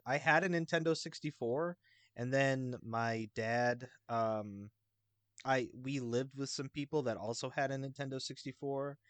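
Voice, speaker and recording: A man's voice: mid-range voice, a bit bright, dry recording. A man speaking casually as a guest on a podcast. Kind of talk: casual chat.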